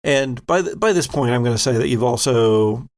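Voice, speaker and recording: A man narrating as game master of a tabletop game in a measured, descriptive way. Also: mid-range voice, animated.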